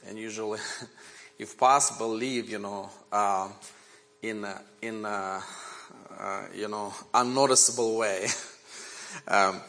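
Man, 40s, Ukrainian accent, native Ukrainian speaker, guest preacher, earnest testimony, unhurried delivery. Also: lowish voice, fairly even intonation, sharp tone. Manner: earnest, measured storytelling. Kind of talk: sermon with personal testimony.

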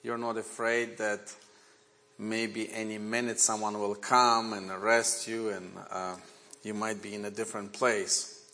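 A man in his forties with a Ukrainian accent, a native Ukrainian speaker, preaching a sermon woven with his own testimony in an earnest, measured way. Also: fairly low pitch, little pitch movement, sharp tone. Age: 40s. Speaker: guest preacher.

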